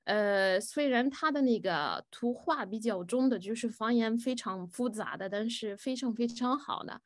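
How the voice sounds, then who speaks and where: Slow, hesitant woman. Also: on the high side. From Egypt. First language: Arabic. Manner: slow and hesitant, pausing with many fillers; switches between Chinese and English. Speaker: guest speaker answering audience questions. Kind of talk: live Q&A at a student forum.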